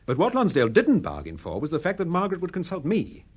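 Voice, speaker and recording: An actor playing a calm, authoritative amateur detective in a radio drama, assured and precise as he explains. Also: a little high, quick pace, breathy edge, full character voice. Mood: measured, explaining.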